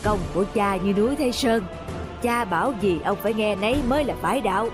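A man's voice: high-pitched, over music, close to an everyday voice. Stern old village teacher played by a man. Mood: sly, measured. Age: older. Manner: measured, admonishing. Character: stern, wise elderly village scholar, righteously scolding. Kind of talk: cartoon folk tale acting.

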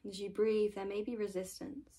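A woman leading a guided meditation in a gentle, soothing manner, often trailing off into a breathy whisper. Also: mid-range voice, level tone, normal speed. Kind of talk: guided meditation, soft-spoken and unhurried.

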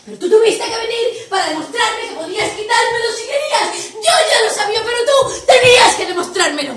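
A woman's voice: extremely high pitch, expressive, a little fast, very noisy. A young woman acting on stage as a resentful, wounded adult daughter, intense and accusatory. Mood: anguished, accusing. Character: wounded, resentful adult daughter pouring out bitterness to her mother. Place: small dim theatre set indoors. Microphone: far camera. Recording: roomy echo, distant camera microphone.

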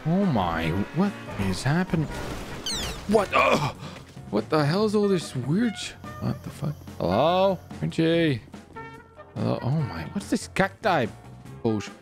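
Animated high-pitched male gamer. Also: takes it slowly, vocal fry, soft background music. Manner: animated, exclamatory, swearing. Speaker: host, gaming video creator. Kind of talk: excitable roleplay gaming commentary.

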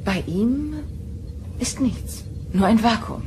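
An actress playing a calm, perceptive starship counselor in an audio drama, measured and earnest. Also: female voice, fairly high pitch, slight background noise. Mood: calm, concerned. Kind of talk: German audio drama acting.

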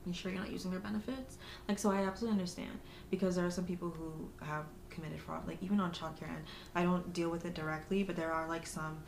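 A young woman, a podcast guest, talking in a relaxed, rambling way and acting out callers in quotes. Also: on the low side, quick pace, few pauses. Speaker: guest, former social services worker. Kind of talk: casual chat. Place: small room. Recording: echoey room with clear background hiss.

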